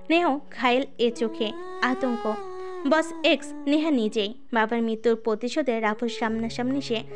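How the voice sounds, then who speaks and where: A woman reading a scripted dramatic audio story as its narrator. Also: fairly high pitch. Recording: music under the voice. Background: music.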